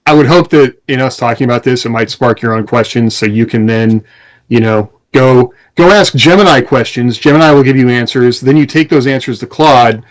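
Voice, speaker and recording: A man's voice: medium pitch, normal speed, low-quality recording. A man co-hosting a podcast, talking in a casual, rambling, explanatory way among friends. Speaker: podcast co-host. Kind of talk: casual conversation among co-hosts.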